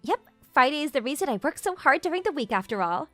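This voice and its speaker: A woman's voice: sits high, sing-song, fast talker, sharp tone, no room sound, soft background music, full character voice. A young woman playing a confident, pushy female CEO who teases her junior in a manga dub romance. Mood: playful, fond. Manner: straightforward, teasing, self-assured.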